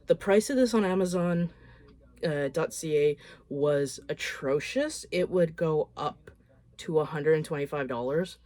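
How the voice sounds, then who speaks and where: Hoarse woman recapping films. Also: sits low, a little slow, mellow, no echo. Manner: chatty, loose and frank, swears casually. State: hoarse from a cold. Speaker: horror film vlogger. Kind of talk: casual movie recap.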